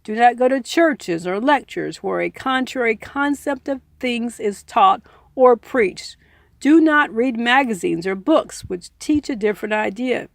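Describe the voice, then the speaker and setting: A woman reads aloud in an earnest, informal audiobook style. Her voice sits a little high and often breaks into creak. She takes her time, and the recording is close and dry. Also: expressive, a little slow, dry recording. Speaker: reader and commentator. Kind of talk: audiobook reading with informal asides.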